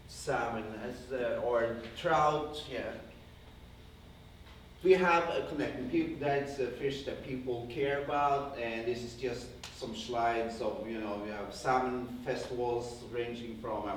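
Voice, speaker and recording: A man with a Norwegian accent gives an informal slide lecture to an audience, speaking hesitantly and often rephrasing himself as he rambles along. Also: mid-range voice. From Norway. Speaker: conservation project founder giving a guest lecture. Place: indoor university lecture room. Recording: very echoey room, distant mic, faint noise.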